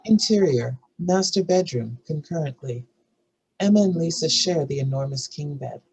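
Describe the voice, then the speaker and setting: A woman's voice: deep voice, animated, normal speed, a little mellow, slightly rough, rough recording. A woman reading stage directions as scripted narration for a drama table read.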